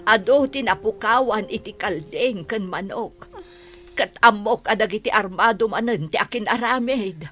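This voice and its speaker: A woman's voice: medium pitch, moderate pace, fairly bright tone, natural voice. A woman playing an anxious, cautious villager in a radio drama, speaking in an earnest, lamenting manner. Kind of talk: Ilocano radio drama acting.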